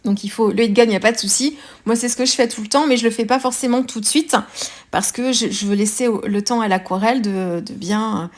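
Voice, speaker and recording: A woman's voice: fairly high pitch, a little fast. A French woman explaining her scrapbooking steps casually, with many fillers and restarts. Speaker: scrapbooking vlogger. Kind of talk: casual craft tutorial narration.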